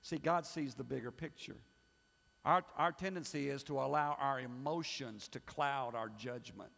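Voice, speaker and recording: A middle-aged male pastor preaching a sermon, emphatic and swinging between loud pushes and hushed asides. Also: medium pitch, normal speed, vocal fry. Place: church sanctuary. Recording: long hall reverb.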